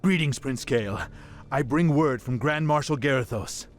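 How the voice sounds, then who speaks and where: A man's voice: mid-range voice, unhurried, soft background music, lightly acted. A man playing a smug, officious army messenger. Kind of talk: video game cutscene voice acting.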